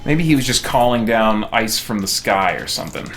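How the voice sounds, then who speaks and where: A man's voice: fairly low pitch, normal speed, a bit bright, slight background noise. A man, sounding middle-aged, chatting casually as he streams a video game, with a jokey, self-deprecating manner. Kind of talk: casual chat while playing a video game.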